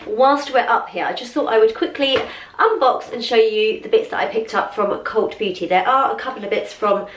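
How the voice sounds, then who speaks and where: A woman's voice: on the high side, normal speed, a little hiss or hum. A young woman vlogging at home, chatting casually as she tries on outfits and unboxes makeup, gushing and repeating words for emphasis, a little stuffy from a cold. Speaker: lifestyle vlogger. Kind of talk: casual try-on and unboxing chat. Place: room at home. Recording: echoey room, voice from a distance.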